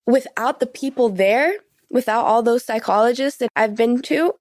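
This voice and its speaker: A woman's voice: fairly high pitch, normal speed, darkish tone, no echo, clean studio sound. A teenage young woman talking as a podcast guest, in casual, earnest, conversational phrasing. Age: teens. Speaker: podcast guest sharing personal experience. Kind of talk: conversational interview.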